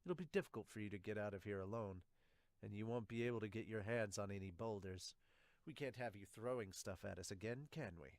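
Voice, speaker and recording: A man playing a gruff, contemptuous soldier in an amateur manga dub, curt and threatening. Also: lowish voice, fairly quick, no echo, pitched below the performer's own voice, natural voice.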